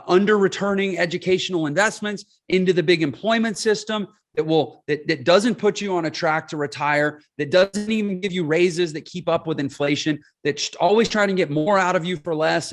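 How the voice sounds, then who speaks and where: A man's voice: on the high side, a bit bright. A man in his forties, a podcast guest, explaining his points emphatically and often checking in with "right?". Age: 40s. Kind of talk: casual interview talk.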